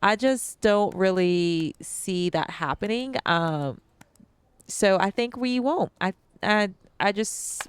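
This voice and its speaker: A woman's voice: mid-range voice, slight background noise. A young adult woman hosting a let's-play, chatting casually to viewers with ums and restarts, her nose a bit stuffy. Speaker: gaming channel host.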